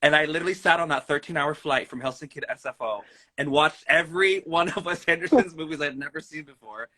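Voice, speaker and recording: A man's voice: a little high, quick pace, pushed and bright. A young man talking as an interview guest, chatty and full of filler words. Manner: chatty, lots of "like". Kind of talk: casual interview chat.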